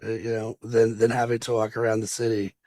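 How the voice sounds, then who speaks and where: Casual low-voiced male podcast host. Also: little pitch movement, dark tone, dry recording. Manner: casual, halting, many fillers and restarts. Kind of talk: interview questions.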